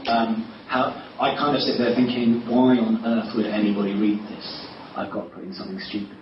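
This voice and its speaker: A low-voiced man talks casually as an interviewed writer, rambling and self-deprecating with false starts. He sounds far from the mic in an echoey room, with clear noise around him. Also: flat delivery, a little fast. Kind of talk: casual panel interview anecdotes. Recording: echoey room, distant mic, muffled, noisy.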